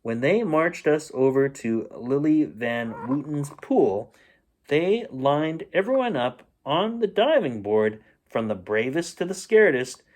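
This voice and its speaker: A man reading a children's storybook aloud in an expressive, playful storytelling manner. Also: mid-range voice. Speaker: bedtime story reader.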